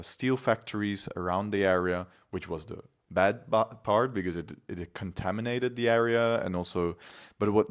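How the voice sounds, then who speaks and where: 低い声で話すイタリア出身の若い男性. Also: male voice, sits low. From Italy. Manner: relaxed, thoughtful, many fillers. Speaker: podcast guest, climate activist. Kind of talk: casual conversation.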